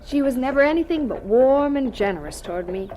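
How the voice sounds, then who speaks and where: A woman playing a gentle, devout farm mother in a radio drama, speaking with tender, sincere dignity. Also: high-pitched, lively intonation, brisk, slight background noise. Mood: tender, wistful.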